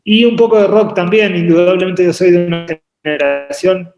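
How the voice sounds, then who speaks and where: Man, slightly high voice, hesitant and repetitive, echoey studio, video call. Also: steady tone, normal speed, darkish tone, muffled recording, faint music behind. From Uruguay, raised in Argentina. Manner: hesitant, repeats words while thinking. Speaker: painter and artist guest. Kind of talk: relaxed interview conversation. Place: home painting studio.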